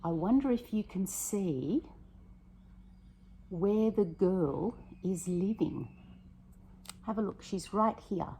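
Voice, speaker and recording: A woman primary school teacher reading a picture book aloud to children, expressive and prompting her listeners with questions, in a slightly husky voice. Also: mid-range voice, slow, pauses often, slight background noise, poor audio.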